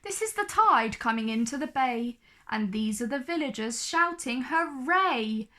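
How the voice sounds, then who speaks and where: A woman reading a rhyming picture book aloud to children in an expressive storytelling style. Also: a little high, normal speed.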